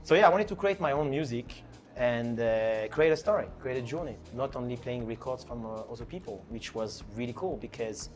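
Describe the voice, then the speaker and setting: A man's voice: medium pitch, lively intonation, brisk, faint music behind. A man from France with a French accent, a DJ chatting casually in an interview, with lots of fillers and restarts. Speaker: DJ and producer guest.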